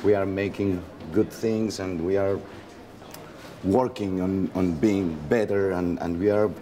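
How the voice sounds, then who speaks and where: A man from Mexico with a Mexican Spanish accent, speaking English as a second language in a casual interview and often pausing to search for words. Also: deep voice, steady tone, dark tone. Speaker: actor, interview guest.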